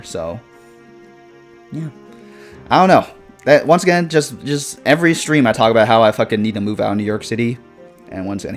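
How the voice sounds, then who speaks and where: A man in his twenties chatting casually on a live stream, reading viewer comments and rambling with lots of swearing, fillers and laughs. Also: fairly low pitch. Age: 20s.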